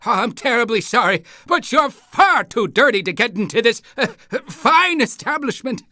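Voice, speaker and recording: Chirpy game guide creature, polite, fussy, very animated, theatrical, dry close sound. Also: male voice, extremely high pitch, normal speed, no echo, exaggerated voice. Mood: apologetic. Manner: theatrical announcer delivery with awkward hesitations. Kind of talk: scripted cartoon game guide.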